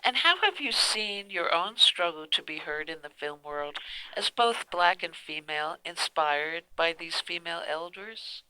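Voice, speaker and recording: Bright, clear woman radio interviewer. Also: on the low side, lively intonation, a little slow, dry recording, low-quality recording. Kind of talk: prepared interview questions.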